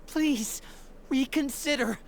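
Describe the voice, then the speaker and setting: An earnest youth in a video game, speaking in a fairly high register. The delivery is imploring and sincere, over faint noise. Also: female voice, takes it slowly, creaky, heavily acted. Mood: pleading, earnest. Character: earnest youth pleading with a stern parent. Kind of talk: English video game dub.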